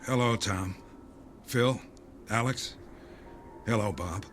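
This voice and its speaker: An actor playing an earnest, secretive doomsday believer who speaks gravely and insistently in a dramatic audio play. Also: male voice, lowish voice, slow pace, noisy background. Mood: conciliatory.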